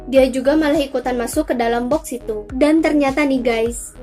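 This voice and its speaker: Young female narrator, high-pitched, chatty recaps of spooky cartoons, light music bed. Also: mellow. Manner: chatty, addresses viewers directly, drawn-out emphasis on some words. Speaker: storyteller and channel host. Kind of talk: casual scripted recap narration of spooky animated stories. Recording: voice-over with faint music underneath. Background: faint background music.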